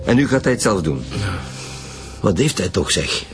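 A man's voice: fairly low pitch, sing-song, moderate pace. A man playing a sceptical, blunt police commissioner in a radio drama, with a Flemish accent. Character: sceptical male police commissioner leading detectives. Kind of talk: naturalistic radio drama acting. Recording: music behind the voice.

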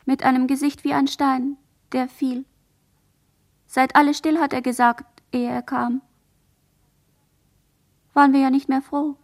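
High-voiced, traumatised girl. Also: level tone. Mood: haunted, halting. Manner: fragmented, halting phrases. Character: dazed traumatised girl, sole survivor of a massacre at sea. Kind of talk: radio play monologue.

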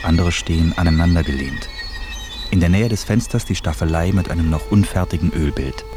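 A man narrates a radio feature from a script in a very deep voice. Music plays clearly behind him as he reads. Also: a little fast.

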